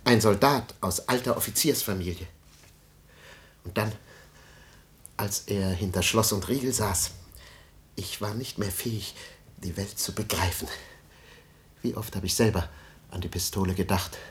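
A man's voice: on the low side, unhurried, pauses often, natural voice. An actor playing a self-important middle-aged father and dreamer with wounded family pride, pompous and self-dramatising, in a 1950s German radio drama. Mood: self-pitying, solemn. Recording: noisy 1950s radio recording with clicks.

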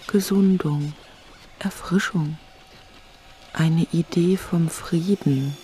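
A woman's voice: deep voice, drawn-out words, dark tone, slight background noise. A woman in a radio drama playing a winged creature at rest, serene and savouring every word. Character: winged self-healing creature resting at peace aloft.

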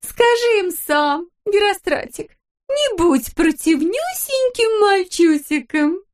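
A woman's voice: very high-pitched, big pitch swings, takes it slowly, voice pushed up, full character voice. A woman voicing a sweet, silly brain-eating wife who coos fond pet names at her husband in a storybook. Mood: cooing.

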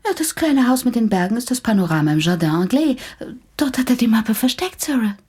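An actress playing a confident, clever female psychiatrist in a radio drama, brisk and gently coaxing, with a breathy voice. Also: on the high side, sing-song. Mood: composed.

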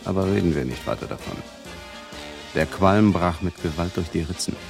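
An actor playing a composed adventurer who recounts sea disasters and journeys as literary narration in a radio play. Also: male voice, sits low, normal speed, gravelly. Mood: dramatic recounting. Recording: studio radio drama with music behind.

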